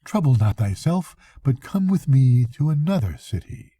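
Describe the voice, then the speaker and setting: A man's voice: mid-range voice, very animated, normal speed, warm tone, slightly rough, no echo, clean studio sound. An older man voicing a sly, evil, tempting soul in a storybook reading, with coaxing, archaic speech. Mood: coaxing, seductive.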